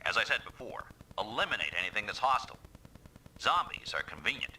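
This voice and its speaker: An actor playing a cocky, sardonic mech pilot in a video game, impatient and full of wisecracks. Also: male voice, lowish voice, big pitch swings, brisk, heavy background noise. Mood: wry, impatient.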